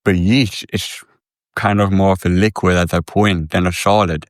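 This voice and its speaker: A man playing a deadpan, deep-voiced yeast boy. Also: moderate pace, no echo, studio quality, natural voice. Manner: deadpan, earnest, rambling explanations. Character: odd deadpan teen boy who turns into yeast. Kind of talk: improvised comedy podcast character.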